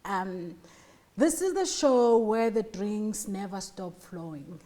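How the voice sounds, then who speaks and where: A woman's voice: mid-range voice, expressive, takes it slowly, warm tone. A woman with a South African accent hosting a casual interview, chatty and teasing, with frequent laughter. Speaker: talk show host.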